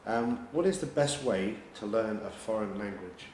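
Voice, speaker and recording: A man acting as examiner in a mock speaking test, asking questions calmly and reading out instructions in a measured way. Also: fairly low pitch. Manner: calm, reassuring, measured questioning. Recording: roomy echo with background noise.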